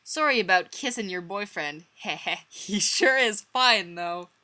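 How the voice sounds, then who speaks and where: A woman's voice: mid-range voice, animated, a little slow, sharp tone. A woman voicing a brash, affectionate, easygoing man in a fan fiction reading, casual and emphatic. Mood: cheeky. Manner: casual, emphatic, dude-ish.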